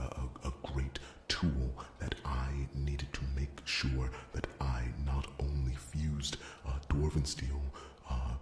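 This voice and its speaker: A man playing a gentle, earnest dwarf blacksmith in an ASMR fantasy roleplay, stammering deferentially in a hushed half-whisper. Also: very deep voice. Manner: halting, stammering, deferential, repeats his words. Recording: roomy echo, faint background noise.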